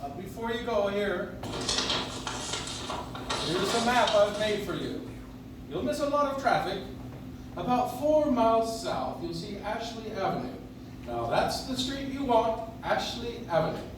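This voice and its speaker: A man playing a kindly, gentle elderly Santa figure in a live radio play, courteous and soft-hearted, recorded from the audience. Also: high-pitched, animated, unhurried, pauses often. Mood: warm, helpful. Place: coffee house stage, recorded from the audience. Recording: distant audience recording, echoey, noisy room.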